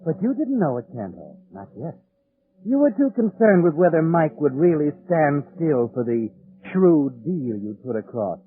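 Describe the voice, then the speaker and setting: A man's voice: fairly high pitch, very animated, moderate pace, husky, no echo. A man playing a sinister, knowing narrator in 1940s radio suspense style, dramatic and insinuating. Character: sinister, knowing narrator addressing the schemer as his conscience. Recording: tinny 1940s radio recording, music behind. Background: dramatic music.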